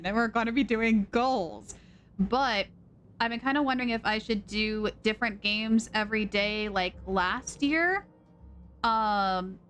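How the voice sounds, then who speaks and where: A woman's voice: medium pitch, slight background noise. Chatty woman streamer thinking aloud. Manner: chatty, rambling, thinking out loud with fillers. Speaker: game streamer. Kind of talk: casual live-stream chat.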